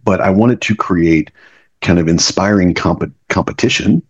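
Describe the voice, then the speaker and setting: A man's voice: low-pitched, measured pace, husky, highs cut off. A middle-aged man, a business leader, talking earnestly as a podcast guest and often restarting his words. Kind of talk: reflective podcast conversation.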